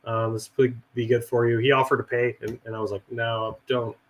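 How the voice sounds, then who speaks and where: A middle-aged man with a hoarse, raspy voice from a cold, rambling and swearing casually in a relaxed chat. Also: mid-range voice, brisk, a little hiss or hum, poor audio. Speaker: veteran guest and actor.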